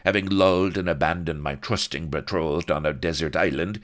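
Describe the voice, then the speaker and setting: A man's voice: deep voice, normal speed, lightly acted. A man voicing a sly rogue who feigns meek, wounded innocence with theatrical, mock-humble delivery in a comic storybook. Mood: wounded, martyred.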